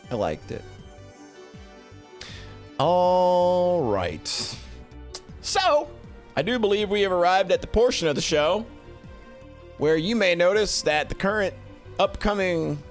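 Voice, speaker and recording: A man giving casual, unscripted commentary as a streamer, halting often and repeating or correcting words as they go. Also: sits high, very animated, fairly bright tone, faint music behind. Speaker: streamer reviewing visual novels.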